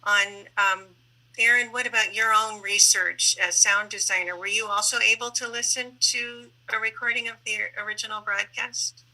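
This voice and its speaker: A woman with a medium-pitched, strikingly bright voice moderates a discussion. She puts her questions in a conversational interview style. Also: fairly even intonation, normal speed, low-quality recording.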